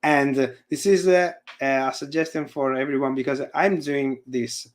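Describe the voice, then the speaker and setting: A man's voice: medium pitch, fairly even intonation. A man with an Italian accent speaks in English, his second language, over a video call. He gropes for words, restarting phrases and filling gaps with "uh" and "let me say". His call audio drops in and out now and then. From Italy. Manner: halting, searching for words, frequent fillers and restarts. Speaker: guest presenter and university lecturer. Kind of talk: casual chat and live coding demo on a video call. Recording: audio fading in and out.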